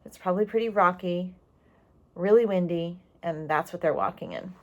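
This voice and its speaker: Expressive woman reading a storybook aloud. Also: on the low side. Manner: expressive, explanatory, pausing on key words. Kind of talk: storybook narration with asides to young listeners.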